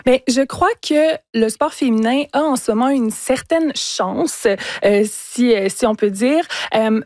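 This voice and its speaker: A woman's voice: fairly high pitch, unhurried, darkish tone, no room sound, clean studio sound. A woman in her thirties with a Quebec French accent, a guest speaking with enthusiasm on a radio panel, fluent with many fillers. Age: 30s. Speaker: independent contemporary art curator, guest.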